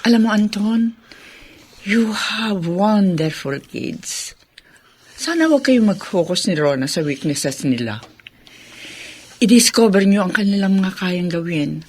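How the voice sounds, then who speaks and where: A woman playing a kind, encouraging elder-sister figure in a radio drama, with a Filipino accent and gently advising. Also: mid-range voice, animated, unhurried, long pauses, husky. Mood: earnest, encouraging.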